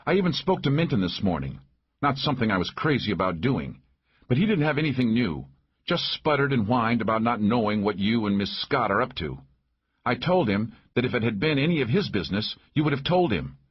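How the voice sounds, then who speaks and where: A man's voice: medium pitch, sing-song, a little fast, husky, highs cut off, pitched above the performer's own voice. A man voicing a hot-tempered, foul-mouthed, combative female sheriff in an audiobook. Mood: defiant, blunt. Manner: combative, blunt, cursing. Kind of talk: audiobook character voice.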